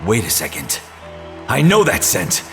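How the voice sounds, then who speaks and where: Action drama superhero: gravelly, gruff, hot-tempered, strained high and wildly varied, music behind. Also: male voice, huge pitch swings, a little slow, heavily acted. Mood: stunned. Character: gruff, hot-headed brawling male superhero. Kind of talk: intense action audio drama acting.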